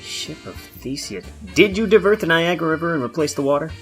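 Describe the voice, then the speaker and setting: Deadpan, husky detective. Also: male voice, on the high side, a little fast, faint music behind, exaggerated voice. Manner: deadpan, stern. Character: weary dry-witted male detective. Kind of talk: audio drama acting.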